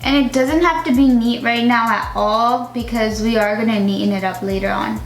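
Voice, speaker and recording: A young woman in her twenties talks casually while doing her makeup, her voice slightly high for a woman. She chats freely and fills her sentences with "like." The room rings a little, and soft music plays underneath. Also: fairly even intonation, normal speed. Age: 20s. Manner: chatty, uses "like" often. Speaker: beauty vlogger. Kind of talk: casual makeup tutorial chat. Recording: echoey room with music behind.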